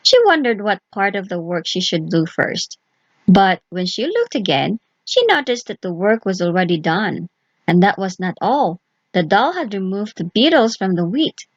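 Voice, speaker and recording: A woman's voice: mid-range voice, sing-song, normal speed, dry recording, highs cut off. A woman reading a scripted folk tale aloud for children as a storyteller.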